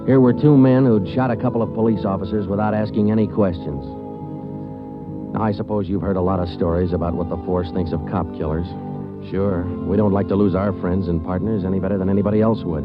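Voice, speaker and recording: A man playing a deadpan police sergeant. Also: low-pitched, a little fast, close to an everyday voice. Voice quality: clipped, deadpan. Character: terse male police sergeant narrating his case. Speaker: narrator and detective. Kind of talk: 1940s radio drama acting. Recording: muffled 1940s radio with music under.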